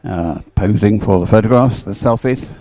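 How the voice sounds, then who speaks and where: A man's voice: lowish voice, moderate pace, warm tone, no room sound. A man giving unscripted live commentary at a sporting event, rambling and hesitant with a dry grumble. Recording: live event feed with background music. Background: music playing over event sound system.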